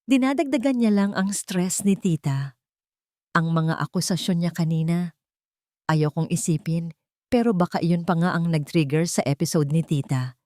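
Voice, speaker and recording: A woman voicing a scheming young fiancée in a story, sweetly manipulative, soft, coaxing and insinuating. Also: lowish voice, moderate pace, no echo, clean studio sound, close to an everyday voice.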